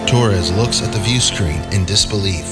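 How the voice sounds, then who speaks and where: A man's voice: low-pitched, normal speed. A man reading scripted narration for an audio drama. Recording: music bed under the voice. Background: loud music.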